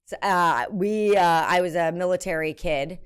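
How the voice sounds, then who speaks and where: An American woman chats casually on a live stream, answering her chat in a rambling, self-deprecating way. Her voice is smooth and a little low for a woman, and she fills pauses with ums and quick laughs. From United States.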